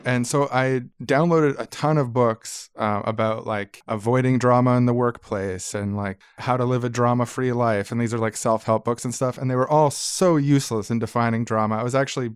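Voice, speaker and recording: A man's voice: medium pitch, fairly even intonation, normal speed, hardly any pauses, clear, resonant tone, no echo, studio quality. A man co-hosting a podcast, explaining things in an informal, conversational way. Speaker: podcast co-host.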